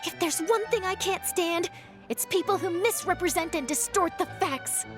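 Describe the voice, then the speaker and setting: A woman's voice: very high voice, normal speed. A woman playing an earnest, perky young newspaper reporter in a video game, articulate and principled. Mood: earnest, indignant. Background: music.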